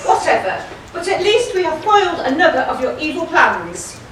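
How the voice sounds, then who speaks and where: Heroic panto outlaw female actor: bright, animated, cheeky and rousing, in a heavily echoing hall. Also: very high voice, normal speed, exaggerated voice. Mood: cocky. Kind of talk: pantomime stage acting. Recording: very echoey hall, distant mic, faint noise.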